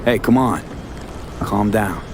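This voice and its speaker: A man's voice: on the low side, takes it slowly, background noise. A man playing a blunt, wary, street-smart mercenary in a video game, with clipped, dry delivery. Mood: firm, persuasive. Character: blunt, wary male mercenary in a cyberpunk city. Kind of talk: video game cutscene acting. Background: music.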